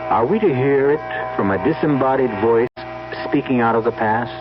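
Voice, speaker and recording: A man's voice: medium pitch, normal speed. A man narrating suspense as a radio drama host, with a dramatic, ominous manner. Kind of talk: 1970s scripted suspense narration. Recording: dull lo-fi old radio broadcast. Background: loud music.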